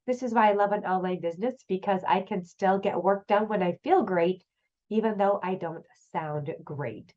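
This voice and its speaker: A woman's voice: mid-range voice, moderate pace, darkish tone, dull audio. A woman host with a congested, nasal voice from a cold, giving chatty, upbeat business tips straight to her viewers. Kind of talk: casual online business tips.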